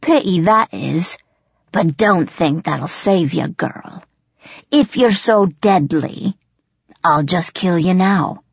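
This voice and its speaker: A woman's voice: lowish voice, expressive, slow, vocal fry, breathy edge, dry recording, lo-fi sound, heavily acted. A woman voicing a menacing, gloating male goblin chief, a crude bully with rustic ungrammatical speech, in an audiobook. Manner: rustic ungrammatical dialect, snarling threats. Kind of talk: audiobook character voice.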